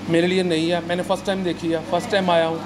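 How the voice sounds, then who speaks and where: A man's voice: high-pitched, little pitch movement, rapid-fire, echoey room, low-quality recording. An older man, chatting politely and unhurriedly as he reviews a cafe on a handheld camera. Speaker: food vlogger. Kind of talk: casual food review vlog. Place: fast food cafe indoors.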